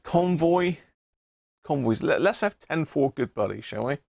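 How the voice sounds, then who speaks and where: A man's voice: fairly high pitch, expressive, measured pace, vocal fry, darkish tone, dry recording. British man, dry close sound, casual livestream. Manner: rambling, thinking aloud, chummy asides. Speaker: livestream host, CB radio hobbyist. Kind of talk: casual live chat to viewers. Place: indoor radio room.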